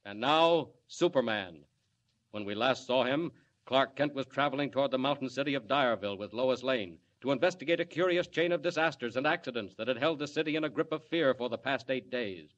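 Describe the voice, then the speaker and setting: Vintage serial narrator: male, medium-pitched, urgent and declamatory, 1940s radio sound with a dulled top end. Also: a little fast, fairly bright tone. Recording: muffled old radio broadcast recording.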